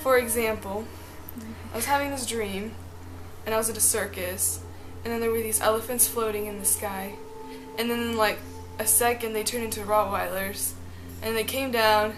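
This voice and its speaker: A woman's voice: fairly high pitch, fairly even intonation, moderate pace, rough recording. A woman casually recounting a dream of her own. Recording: music behind the voice. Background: music.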